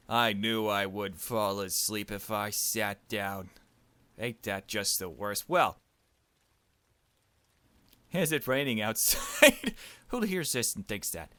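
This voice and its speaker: A man's voice: on the low side, bright, forceful tone, clear, resonant tone, no echo. A man reading a visual novel aloud as a gaming host, with joking, irreverent asides. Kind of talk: let's play, dramatic reading mixed with joking commentary.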